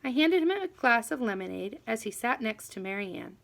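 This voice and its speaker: A woman reading a children's novel aloud in an expressive, animated first-person storytelling manner. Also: a little high, a little fast, creaky. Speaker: audiobook reader.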